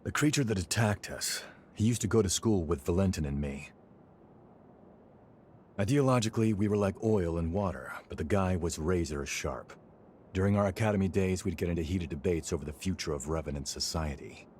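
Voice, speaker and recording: An actor playing a loyal, frank young fighter in a video game, talking casually. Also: male voice, deep voice, brisk, darkish tone, a little hiss or hum, close to an everyday voice. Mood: earnest, reflective. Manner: casual, colloquial. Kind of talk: video game cutscene dialogue.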